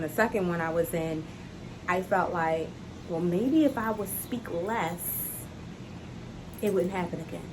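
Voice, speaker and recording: Earnest encouraging woman, lowish voice. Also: noisy background. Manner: earnest, encouraging, repeats key phrases. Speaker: self-love coach. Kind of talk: heartfelt motivational talk to camera. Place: outdoors on a hot day.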